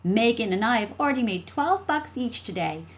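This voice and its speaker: A woman's voice: on the high side, expressive, normal speed, pitched above the performer's own voice, natural voice. A woman voicing a feisty, smug young schoolgirl in an audiobook, speaking in an emphatic, childlike way. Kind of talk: audiobook character dialogue.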